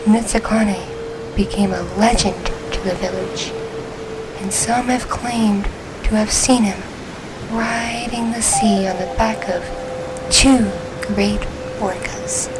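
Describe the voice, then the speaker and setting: A woman voicing a gentle orca girl spirit who tells a bedtime story in a soothing, unhurried ASMR style, drifting into whispers. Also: medium pitch, fairly even intonation, heavy background noise, lightly acted. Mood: solemn, calm.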